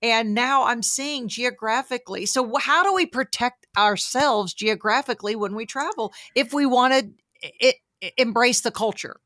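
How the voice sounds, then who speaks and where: Woman, middle-aged, podcast host, animated storyteller, affirming, dry recording. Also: on the high side, moderate pace, no room sound. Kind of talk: casual interview chat.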